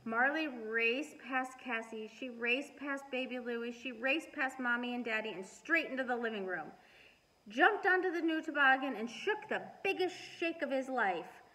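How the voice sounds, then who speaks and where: A middle-aged woman reading a storybook aloud and chatting to children in an expressive, deliberate way. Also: sits high, poor audio. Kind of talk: storybook read-aloud and casual chat to children. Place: living room at home.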